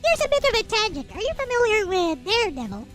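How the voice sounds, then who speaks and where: Squeaky pitched-up filtered voice. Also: huge pitch swings, fast, music in the background. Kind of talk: casual chat.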